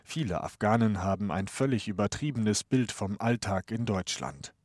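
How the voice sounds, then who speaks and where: Male news reporter, low and dry, measured scripted read. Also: lively intonation, darkish tone, dry recording. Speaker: radio reporter.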